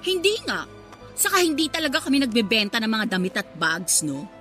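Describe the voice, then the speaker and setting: A brazen, spiteful other woman with a high, forceful, bright Filipino voice. Her delivery is sassy and taunting radio melodrama, with faint music underneath. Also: very animated, brisk. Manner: sassy, goading, insolent. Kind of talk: Filipino radio drama acting. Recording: faint music bed behind the voice.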